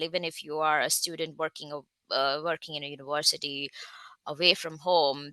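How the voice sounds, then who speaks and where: A woman in her thirties from India with an Indian accent, giving an online guest talk with slides and often pausing on fillers. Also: low-pitched, sharp tone, clear, resonant tone, no echo. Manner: careful, with frequent hesitant fillers. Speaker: guest speaker, research scientist.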